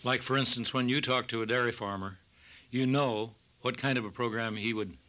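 A man hosting a farm news program, reading scripted narration and interviewing a guest in a measured, formal way. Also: mid-range voice, fairly even intonation, bright, forceful tone. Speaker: radio program host.

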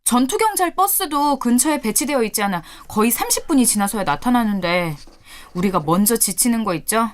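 A woman's voice: fairly high pitch, lively intonation, a little fast, natural voice. A woman voicing a chatty, earnest young university activist who speaks up to a senior. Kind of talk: audio drama acting.

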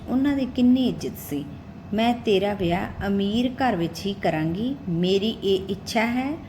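A woman's voice: medium pitch, dark tone, close to an everyday voice. A woman voicing a stern, status-minded village mother in a narrated story, admonishing and insistent. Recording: clearly noisy recording with background ambience.

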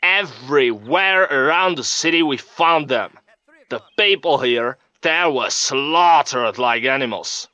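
Solemn, forceful army captain. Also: male voice, mid-range voice, animated, no room sound. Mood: grave. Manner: solemn, deliberate. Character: grave, indignant male army captain of a fictional kingdom. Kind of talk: field interview in a game news cutscene.

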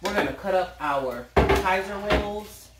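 A woman's voice: medium pitch, expressive, measured pace. A middle-aged American woman with a folksy, playful manner, casually demonstrating home cooking as the host. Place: indoors in a home kitchen. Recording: roomy, clearly noisy home recording with clicks.

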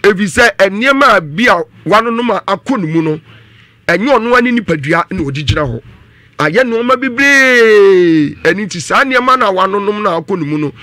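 A man's voice: sits high, lively intonation, a little fast, sharp tone, no echo. A middle-aged man giving earnest, emphatic commentary on a radio panel, repeating phrases for weight. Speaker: studio panelist. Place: small indoor radio studio. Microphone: studio desk microphone.

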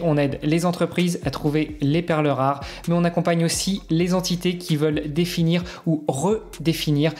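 A man with a slightly high voice hosts a podcast. His delivery is informal and chatty, and faint music can be heard in the recording. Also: a little mellow. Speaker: podcast host. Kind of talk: podcast intro narration and casual interview chat.

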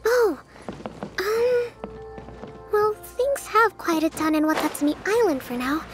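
A woman voicing a gentle, composed young female strategist in a video game, speaking softly and politely. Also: very high voice, sing-song, slow pace, over music, heavily acted. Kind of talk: animated video game voice acting. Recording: faint game ambience behind.

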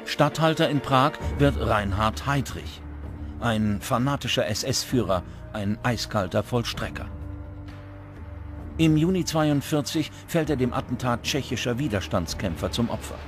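Male documentary narrator, scripted delivery, medium pitch, music underneath. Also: expressive, unhurried. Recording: music bed behind the voice.

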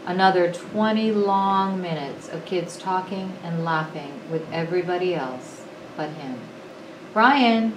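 Female storyteller, lower register, expressive picture-book read-aloud in an echoey room. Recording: roomy echo with clear background noise.

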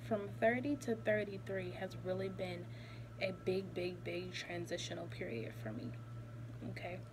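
A woman in her thirties talking casually to her phone camera in a small office, animated and chatty, repeating words for emphasis. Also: fairly low pitch, moderate pace, noisy background. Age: 30s. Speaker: vlogger. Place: small office room indoors. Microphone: phone camera held close.

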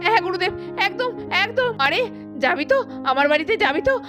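A woman's voice: very high voice, expressive, fast talker, voice pushed down. A woman voicing an emotional middle-aged mother in a puppet cartoon, repeating herself as she pleads. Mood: joyful. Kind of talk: cartoon voice acting. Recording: background music under the voice.

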